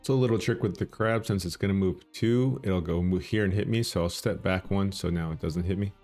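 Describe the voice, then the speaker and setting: A low, bassy man's voice talks through a game run in a relaxed, chatty way, thinking out loud and poking fun at himself. Faint music plays quietly underneath. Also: fairly quick. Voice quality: bassy morning voice. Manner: chatty, self-deprecating, thinking aloud. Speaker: gaming channel host. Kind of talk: casual gameplay commentary.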